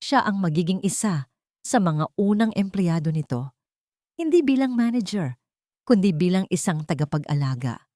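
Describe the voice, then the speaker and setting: Woman voicing a firm, deliberate elderly grandmother. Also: on the low side, lively intonation, warm tone, dry recording, clean studio sound, lightly acted. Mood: stern, solemn. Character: wronged elderly grandmother, firm, stern but merciful.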